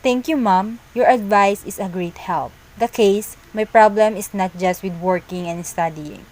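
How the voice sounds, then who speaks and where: A woman's voice: mid-range voice, a little slow, a little mellow, lightly acted, rough recording. A young woman voicing a weary, self-doubting working student in an amateur cartoon, with a plain read-aloud delivery. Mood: respectful, troubled. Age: young adult. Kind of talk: amateur animated cartoon dialogue.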